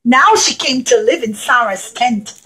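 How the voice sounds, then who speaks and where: A woman telling a puppet-show story to young children in simple, repetitive phrases, as an animated storyteller. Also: on the high side, a little slow.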